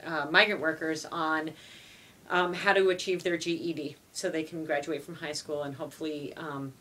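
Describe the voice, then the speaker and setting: A woman's voice: low-pitched, fairly even intonation, normal speed, bright, forceful tone, poor audio. A woman from Montreal, a native French speaker, answering interview questions as a foreign language teacher, earnest and dotted with "you know.". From Canada (Montreal).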